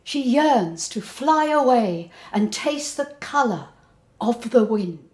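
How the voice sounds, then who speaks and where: An older woman giving a dramatic, theatrical recitation of poetry in a measured way. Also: on the high side, expressive, a little mellow. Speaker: poet performing her own poem. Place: indoor room before a folding screen. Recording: roomy, echoing indoor sound.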